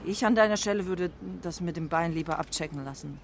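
An actress playing a brusque, bossy motorist in a radio drama, speaking in an assertive, insistent way. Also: female voice, lowish voice, a little fast, noisy background, lightly acted. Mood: brusque, concerned. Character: brusque, bossy female motorist with a concerned streak. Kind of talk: naturalistic radio drama dialogue.

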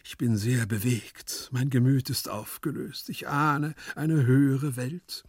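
A man's voice: mid-range voice, measured pace, darkish tone, slightly rough, no room sound, clean studio sound. A man voicing a corpulent, lovesick storybook nobleman who sighs and declaims with theatrical sentimentality. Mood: swooning. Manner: pathetic declamation, sighing, swooning.

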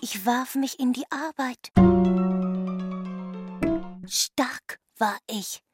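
A proud, hard-working landlady declaims her life story in a high voice. She is very slow and deliberate, with loud music behind her. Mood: proud, wistful. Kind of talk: literary reading over music.

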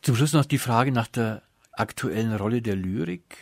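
A man's voice: mid-range voice, moderate pace, vocal fry, no room sound, studio quality. A man hosting a radio interview, putting prepared questions and presenting in an orderly way.

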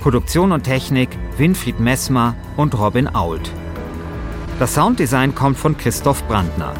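A man's voice: medium pitch, expressive, measured pace, music in the background. A man hosting a podcast, moving between casual chat and scripted narration. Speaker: podcast host and author.

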